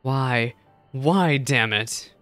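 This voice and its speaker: A man's voice: medium pitch, drawn-out words, bright, forceful tone, no room sound, soft background music. A man voicing a grieving, guilt-ridden game storykeeper in an earnest, solemn way. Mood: anguished.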